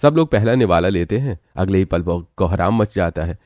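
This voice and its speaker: Deep-voiced man narrating a story. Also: lively intonation, normal speed, darkish tone.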